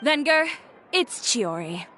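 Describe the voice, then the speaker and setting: A woman's voice: a little high, sing-song, slow pace, crowd noise, noisy background. A woman playing a self-assured, blunt young businesswoman in a video game, speaking in a cool, composed, direct way. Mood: composed, firm.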